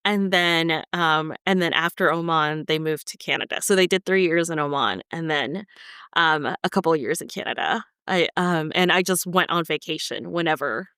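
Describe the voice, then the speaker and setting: A woman speaks with a clear, smooth voice that sits slightly low. She is an interview guest from the Philippines, telling her story casually, recorded close and dry. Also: normal speed, pushed and bright, dry recording. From Philippines, raised in South Korea and Egypt. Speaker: podcast guest, author and podcaster.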